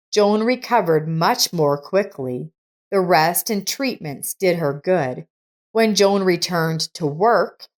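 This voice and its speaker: A woman reading a scripted story as narrator, in a measured, deliberate manner. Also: fairly low pitch.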